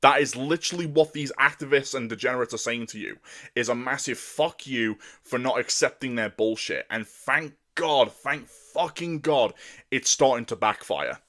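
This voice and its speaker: A man with a deep voice delivering an angry, profane rant, often stumbling and restarting his words. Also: moderate pace, a bit bright. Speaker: commentator. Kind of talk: ranting monologue.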